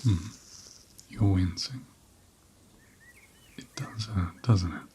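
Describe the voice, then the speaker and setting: A man playing a gentle, caring ram in an ASMR roleplay, speaking in a soft, breathy half-whisper with a soothing, tender manner. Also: low-pitched, takes it slowly, a little hiss or hum. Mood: soothing, reassuring. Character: gentle, caring male ram tending an injured lamb.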